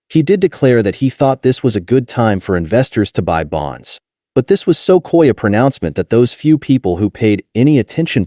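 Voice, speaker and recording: A man narrating a nonfiction audiobook in a measured, clearly articulated reading. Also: on the low side, mellow, no echo, clean studio sound.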